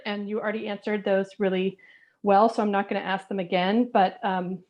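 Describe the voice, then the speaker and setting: A woman resident speaking earnestly and haltingly, with many fillers, in a public comment at a community forum over a video call. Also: mid-range voice, darkish tone. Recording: dull lo-fi call audio.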